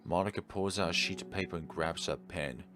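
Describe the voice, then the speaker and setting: A man's voice: sits low, fairly quick. A man reading a visual novel aloud and commenting on it in a theatrical, slightly formal way over game music. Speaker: video game playthrough host. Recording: music behind the voice.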